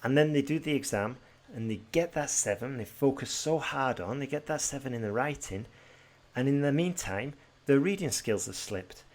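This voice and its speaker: A British man from Britain teaching an exam-prep podcast tutorial in a brisk, direct way, with some fillers and restarts. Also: medium pitch, animated, a little mellow. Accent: British English.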